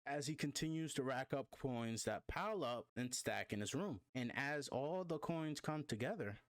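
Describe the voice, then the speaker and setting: A man's voice: mid-range voice, animated, normal speed, no room sound, studio quality. A man reading a comic recap aloud as a storyteller, acting out the dialogue as he goes. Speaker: manhwa recap narrator.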